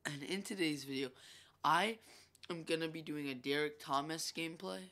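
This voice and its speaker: Young man, bright voice, stuffy congested nose, chatty rambling gameplay commentary, lots of ums. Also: a little high, normal speed. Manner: chatty, rambling, filler-heavy. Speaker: gaming YouTuber.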